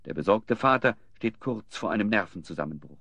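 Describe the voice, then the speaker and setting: A man's voice: fairly low pitch, animated, fairly quick, vocal fry, bright, forceful tone, no echo, full character voice. A man narrating a German audio drama in a scripted storytelling style.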